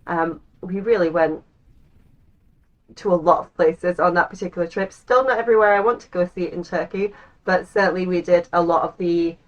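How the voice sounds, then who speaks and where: An English woman in her thirties talks straight to camera as the host of her own channel. Her voice sits a little low, and she chats in a loose, rambling way, often pausing on an um. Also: highs cut off. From England. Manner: chatty, rambling with frequent ums. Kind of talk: casual talk to camera.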